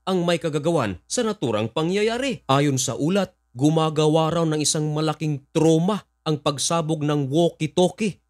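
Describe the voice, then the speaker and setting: A man's voice: fairly high pitch, normal speed, hardly any pauses, a little mellow. A man with a Filipino accent reading scripted news commentary, explaining events and addressing listeners directly.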